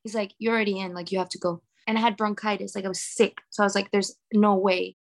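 A woman's voice: medium pitch, flat delivery, quick pace, gravelly. A young woman from Venezuela with a Venezuelan accent and Spanish as her first language, talking casually as a singer and filling her speech with "like". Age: young adult. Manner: chatty, filler-heavy with "like". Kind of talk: casual interview.

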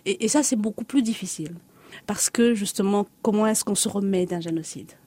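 Female artist, 30s, from Congo-Kinshasa; warm low-key tone, thoughtful, measured interview guest. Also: husky. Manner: measured, reflective, builds on repeated phrases. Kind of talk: reflective interview answers.

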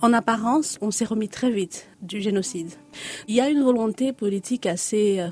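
Warm, reflective woman in her thirties. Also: medium pitch. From Congo-Kinshasa. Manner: measured, reflective, builds on repeated phrases. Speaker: artist, interview guest. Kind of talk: reflective interview answers.

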